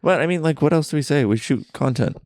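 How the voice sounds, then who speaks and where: A man's voice: fairly high pitch, lively intonation, quick pace, a little mellow, no room sound, clean studio sound. A young man co-hosting a podcast, chatting casually and loosely, full of "like" and "yeah" fillers. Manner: laid-back, frequent "like" and "yeah" fillers. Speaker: podcast co-host. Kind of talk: casual chat. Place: basement.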